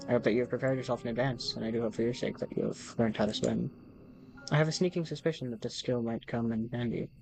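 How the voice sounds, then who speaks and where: A man's voice: mid-range voice, fairly even intonation, fast, gravelly, a little mellow, soft background music, close to an everyday voice, poor audio. A man voicing a formal, devoted, possessive visual novel narrator, speaking straight to the player in a courtly way. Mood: teasing. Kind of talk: reading game text aloud.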